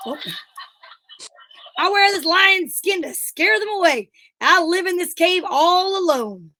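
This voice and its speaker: A very high-pitched cave hermit acted by a woman, grumpy and fed up with people. He answers in theatrical deadpan, radio comedy style. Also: slow, exaggerated voice. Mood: secretive, deadpan. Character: reclusive male hermit in a lion skin, grumpy misanthrope. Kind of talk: amateur old-time radio comedy acting.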